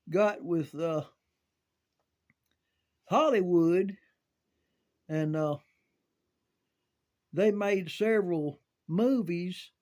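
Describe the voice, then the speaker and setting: An older American man reminiscing casually in a halting, folksy way, often repeating words as he goes. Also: high-pitched, a little mellow. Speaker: storyteller sharing recollections of CB radio and volunteer rescue.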